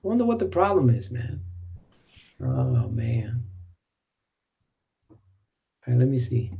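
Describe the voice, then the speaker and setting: A man's voice: mid-range voice, big pitch swings, slow, gravelly, mellow, a little hiss or hum. A man hosting an online community show over a video call, casual and joking while sorting out audio trouble. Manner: upbeat, joking while fixing tech trouble.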